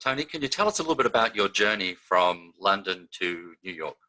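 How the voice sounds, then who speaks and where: A man's voice: on the low side, big pitch swings, a little fast, bright, forceful tone, rough recording. A man hosting an interview, asking formal questions and opening each one with the guest's name. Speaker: interview host.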